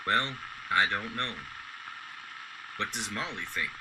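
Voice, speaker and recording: A man playing a gentle, lonely, courteous man in an old-time radio drama, speaking in a polite, folksy way. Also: lowish voice, takes it slowly. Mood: kindly. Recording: noisy background.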